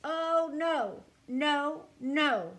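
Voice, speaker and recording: Fussy picture-book house painter, insistent and loud, put on by a woman reading aloud, faint hiss behind. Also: medium pitch, animated, a little slow. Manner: emphatic, insistent.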